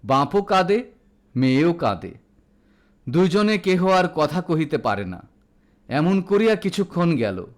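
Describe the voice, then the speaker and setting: A man's voice: on the high side, sing-song, measured pace, long pauses. A man reading a literary audio story as narrator, in a formal, dramatic storytelling manner.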